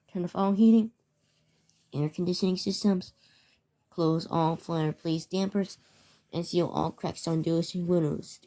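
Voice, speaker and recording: A woman narrating amateur scripted emergency news bulletins in a halting way, often stumbling over and repeating words. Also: on the low side, dark tone. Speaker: narrator of a fictional emergency alert scenario.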